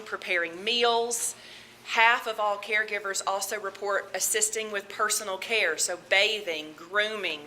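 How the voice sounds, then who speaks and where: Southern lady giving a talk at a conference, bright loud voice, a bit of hiss behind. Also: medium pitch. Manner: loud, chatty, nervous laughs. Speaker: conference speaker, medical social worker. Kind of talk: conference presentation. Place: conference room with audience.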